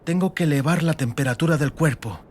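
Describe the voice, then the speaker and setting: A woman's voice: low voice, fairly quick, a little mellow, slight background noise, close to an everyday voice. A woman playing a defiant, exhausted but determined young sorceress girl in a dramatised fantasy audiobook. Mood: weary focus.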